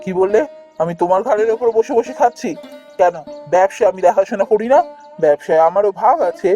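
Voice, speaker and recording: A woman's voice: medium pitch, a little fast, warm tone, lightly acted. Woman acting a kind younger brother, earnest, firm, defiant spirit, cartoon folktale delivery, faint music underneath. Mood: hurt, defiant. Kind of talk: Bengali fairy-tale cartoon voice acting.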